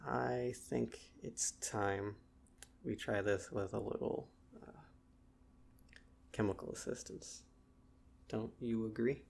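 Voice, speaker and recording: A performer playing a calm, softly menacing male psychiatrist in an ASMR roleplay, speaking in a hushed, deliberate, soothing way with long pauses. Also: medium pitch, vocal fry, a little hiss or hum. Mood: quietly coaxing. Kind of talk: ASMR roleplay, slow hushed dramatic delivery.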